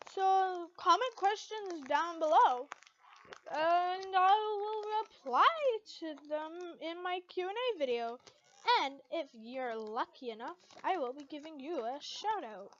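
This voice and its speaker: A woman's voice: very high-pitched, expressive, a little slow, a little hiss or hum. A young woman narrating fan fiction and chatting casually to her channel's followers. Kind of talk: expressive first-person story narration and casual vlog outro. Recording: dull lo-fi home recording.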